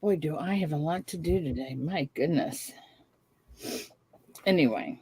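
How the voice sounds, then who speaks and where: A woman's voice: low-pitched, measured pace, a little mellow, slight background noise. A middle-aged woman with a Southern Texas accent, hosting a live stream and chatting with viewers in a giggly, easygoing way. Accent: Texan Southern. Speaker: host. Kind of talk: live-stream casual chat.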